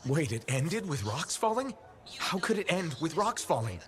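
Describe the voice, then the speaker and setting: An actor playing an easygoing freelancer pilot in a video game, with a wry, friendly, teasing manner. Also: male voice, on the high side, big pitch swings, moderate pace, a bit bright. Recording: music behind the voice.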